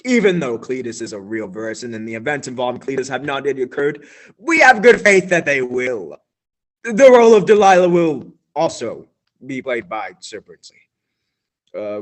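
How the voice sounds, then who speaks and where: A young male student actor playing a flustered, solemn radio announcer in a stage radio play, with theatrical pauses. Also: mid-range voice.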